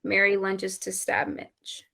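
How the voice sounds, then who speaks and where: Female table-read narrator: mid-pitched, gravelly fry, scripted stage-direction delivery. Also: monotone, takes it slowly, pushed and bright.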